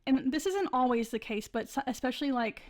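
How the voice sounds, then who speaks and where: Young woman, scratchy voice, higher pitch, chatty art vlogger, lots of likes and restarts. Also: lively intonation, fairly quick, darkish tone. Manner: chatty, many likes and restarts, laughs often. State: scratchy voice, getting over flu. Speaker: art channel host. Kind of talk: casual voice-over narration of drawing process.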